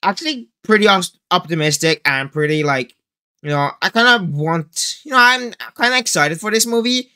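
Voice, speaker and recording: Bright-voiced teenage male reaction host. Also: high-pitched, expressive, normal speed, smooth. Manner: rambling, hesitant phrasing. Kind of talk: casual trailer reaction chat. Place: small indoor room full of collectibles.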